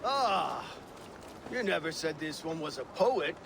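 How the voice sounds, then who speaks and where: Viking commander in a game, blunt and wry, slightly high voice, noisy outdoors sound. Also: male voice, very animated, unhurried, creaky, heavily acted. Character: commanding, dry-witted Viking war leader. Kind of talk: video game cutscene acting.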